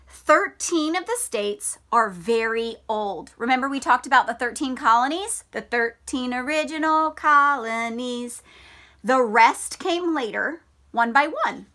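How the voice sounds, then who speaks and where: Female schoolteacher for a children's read-aloud lesson; bright, clearly forward tone, slow and deliberate, expressive delivery. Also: high voice. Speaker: elementary school teacher.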